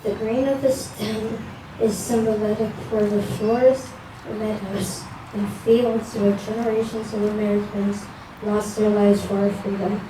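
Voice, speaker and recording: A woman's voice: mid-range voice, little pitch movement, measured pace. A woman reading a prepared text aloud at an outdoor ceremony, in a recited delivery. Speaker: ceremony reader. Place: outdoor veterans' memorial ceremony. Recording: distant, echoey, noisy outdoor pickup.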